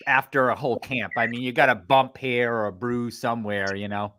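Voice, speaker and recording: Man, co-host, bright voice, easygoing, casual chat. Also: medium pitch, fairly even intonation, a little fast.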